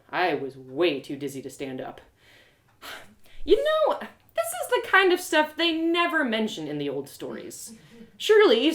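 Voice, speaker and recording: A woman reading a first-person fantasy story live, in an expressive, confiding, dramatized narration. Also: medium pitch. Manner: expressive, confiding, acted-out delivery. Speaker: storyteller and audio drama performer.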